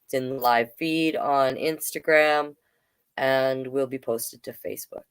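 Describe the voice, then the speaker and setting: A woman hosting a storytime, reading a picture book aloud with chatty, gently encouraging asides to listeners. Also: very deep voice, fairly even intonation, unhurried, clear, resonant tone. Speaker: children's storytime reader and host.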